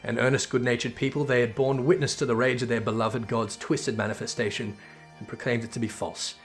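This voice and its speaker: A man's voice: mid-range voice, level tone, brisk, faint music behind, close to an everyday voice. A man playing a solemn storyteller, narrating a fantasy game saga like a storybook.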